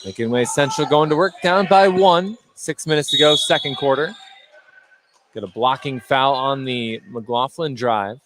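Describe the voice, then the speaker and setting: A man doing live play-by-play basketball commentary for radio from a high school gym. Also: unhurried, fairly bright tone. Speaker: play-by-play announcer. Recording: faint gym noise, bouncing basketball.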